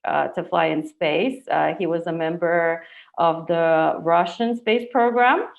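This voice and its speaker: A woman's voice: fairly low pitch, a little slow, gravelly, narrow, tinny audio. A woman hosting an informal podcast interview, speaking in an enthusiastic, effusive manner. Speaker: podcast host.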